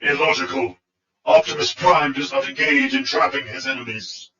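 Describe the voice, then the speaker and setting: A man's voice: a little high, sing-song, normal speed, sharp tone, distant mic, voice pushed up. A man voicing a coldly logical robot officer in an audio drama, formal and matter-of-fact. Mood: coldly certain. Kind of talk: fan audio drama acting. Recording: echoey, muffled.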